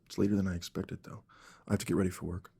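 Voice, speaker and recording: Earnest young dad, fast talking, bit husky, protective husband in an audio drama. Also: male voice, low-pitched, little pitch movement, dark tone, full character voice. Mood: gentle, tired.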